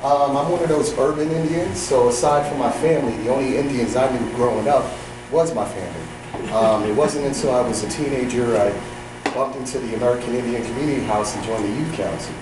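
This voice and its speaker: Soft-spoken man from New York City. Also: mid-range voice, a little fast. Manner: soft-spoken, hesitant, with fillers. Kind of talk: spoken self-introduction. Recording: echoey room, distant mic, noise.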